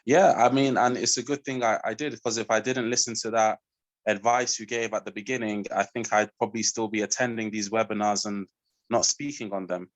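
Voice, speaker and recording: A man talking as a student guest on a webinar, relaxed and rambling with lots of fillers, over a video call. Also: on the low side, brisk, no echo, dull audio. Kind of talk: casual chat.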